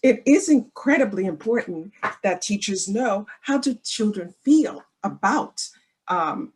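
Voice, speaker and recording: Husky-voiced woman, chatty teacher. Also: medium pitch, animated, measured pace, darkish tone. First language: Haitian Creole. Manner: effusive and chatty, with restarts. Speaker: former third-grade teacher, meeting attendee. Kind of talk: casual comment in a video-call Q&A. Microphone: video call.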